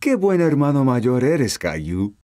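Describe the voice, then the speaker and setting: A man voicing a patient, kindly young father in a children's cartoon, gentle and encouraging. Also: mid-range voice, very animated, slow pace, noisy background. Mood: warm, pleased.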